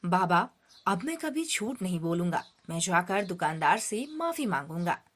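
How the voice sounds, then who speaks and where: A woman's voice: on the low side, sing-song, normal speed, fairly bright tone. A woman voicing a mischievous but good-hearted ten-year-old boy in a children's moral story. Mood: remorseful.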